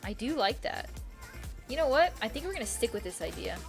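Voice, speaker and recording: A woman's voice: on the high side, sing-song, fairly quick, vocal fry. Rambling woman streamer over faint music. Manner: rambling, indecisive, repeats herself, mild swearing. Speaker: live streamer, host. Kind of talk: casual live game stream chat, thinking aloud. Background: quiet music.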